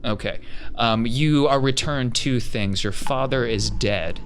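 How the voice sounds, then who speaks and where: A man narrating a tabletop roleplaying game as game master, describing scenes with deliberate pauses mid-sentence. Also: medium pitch. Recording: clear background noise.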